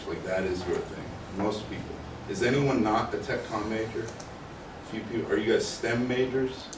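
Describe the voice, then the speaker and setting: A middle-aged man with a fairly low voice gives a casual talk to students. He is picked up from a distance in an echoey room with clear background noise. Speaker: guest speaker, content writer. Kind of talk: informal talk to a class, asking questions. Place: small classroom, indoors. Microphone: distant camera microphone.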